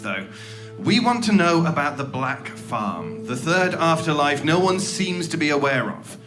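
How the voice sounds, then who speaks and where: An actor playing a smug, tactless agent of a secretive organization in a horror audio drama, glib and quick to stammer. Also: male voice, medium pitch, natural voice. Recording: background music under the voice.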